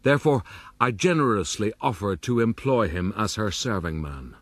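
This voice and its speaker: A man playing a scheming, self-pitying gentleman rogue who narrates his own life in a formal, self-justifying way for a radio drama. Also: lowish voice, lively intonation, moderate pace, dry recording. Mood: smug, measured.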